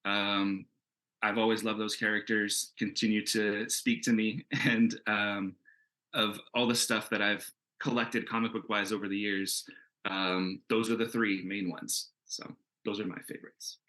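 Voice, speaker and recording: Bright, forceful low-voiced man chatting. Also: little pitch movement. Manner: chatty, halting, thinking aloud. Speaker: comic book creator and panel guest. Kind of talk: casual panel Q&A. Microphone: video call.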